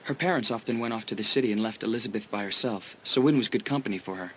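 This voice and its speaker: A man's voice: lowish voice, fast talker, slight background noise, close to an everyday voice. A young man playing an easygoing, teasing teenage school athlete in an audiobook dramatisation, chatting casually. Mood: grave, confiding.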